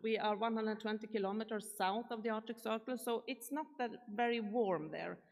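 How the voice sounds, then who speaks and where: A woman's voice: a little high, fairly quick, creaky. A woman from Sweden with a Swedish accent, giving a chatty conference keynote and asking the audience questions. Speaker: keynote speaker. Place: large conference hall. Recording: reverberant hall.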